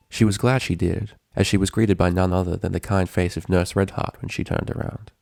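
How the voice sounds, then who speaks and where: A man's voice: low voice, vocal fry, a little mellow, no echo, studio quality. A man reading a story aloud as its narrator, in scripted prose narration. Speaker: narrator, fan fiction reader.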